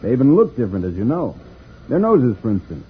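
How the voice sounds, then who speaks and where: A confident space pilot hero played by a man with a mid-pitched, animated delivery. He is patient and authoritative, heard through a narrow, faintly hissy old radio recording. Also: fast talker, natural voice. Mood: assured, explanatory. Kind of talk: 1950s radio serial acting.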